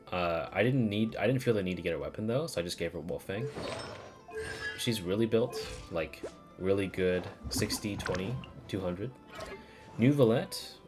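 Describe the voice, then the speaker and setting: A low-pitched man talks casually through his game on a stream. He rambles informally and swears now and then, while music plays prominently under his voice. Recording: music playing behind the voice.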